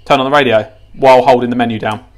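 A man's voice: mid-range voice, steady tone, fast talker. A man giving a casual, unscripted hands-on tutorial, informal, repeating himself and thinking aloud as he goes. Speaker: presenter of a gadget how-to. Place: indoors, tiled kitchen counter.

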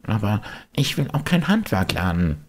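A man's voice: lowish voice, sing-song, normal speed, pitched above the performer's own voice. A man voicing an eager, naive little wooden puppet boy, exclaiming and pleading in an audiobook. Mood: reluctant. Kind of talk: audiobook character voice.

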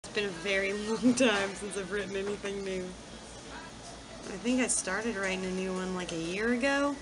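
A woman's voice: medium pitch, normal speed, over music, rough recording. A woman in her thirties reminiscing casually as an interview guest in a restaurant booth.

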